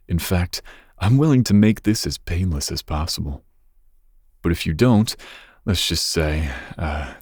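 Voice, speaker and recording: Man playing a deep, husky, seductive mafia heir. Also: darkish tone, dry recording, studio quality, exaggerated voice. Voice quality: breathy, husky low voice. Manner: smooth menace, slow teasing pauses. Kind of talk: ASMR roleplay, intimate second-person monologue.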